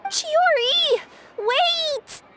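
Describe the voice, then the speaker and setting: A woman's voice: very high voice, animated, very slow, very noisy, full character voice. A woman voicing a sweet, anxious young catgirl courier in an anime game, light, girlish and polite. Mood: alarmed. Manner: polite, hesitant. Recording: background music behind the voice.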